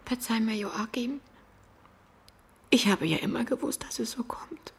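An actress playing a sorrowful, reproachful wife in a radio drama, with a husky, breathy voice. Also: female voice, a little high, unhurried, full character voice. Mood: tearful, reproachful. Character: sorrowful, reproachful wife bidding her condemned husband farewell.

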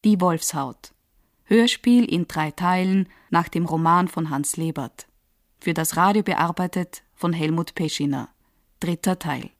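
A woman's voice: on the low side, dry recording, clean studio sound. A woman reading formal scripted credits as a radio announcer.

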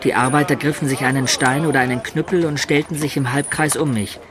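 A man's voice: medium pitch, fairly even intonation, brisk, crowd noise, lightly acted. An actor playing a traveller who narrates his own homecoming adventures in a radio play. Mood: vivid. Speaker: first-person narrator.

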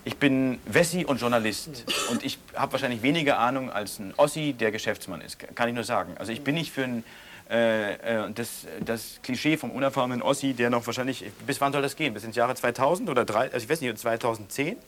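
An actor playing a defensive West German journalist, arguing haltingly in natural, improvised-sounding dialogue. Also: male voice, mid-range voice, a bit bright, natural voice. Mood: defensive, exasperated. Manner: halting, argumentative, self-justifying. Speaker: journalist being questioned by audience.

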